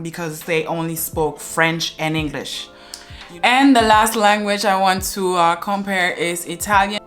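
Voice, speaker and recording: A woman's voice: lowish voice, a bit bright. A young woman giving earnest, chatty advice to the camera from her living room, with many "uh" fillers. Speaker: language vlogger.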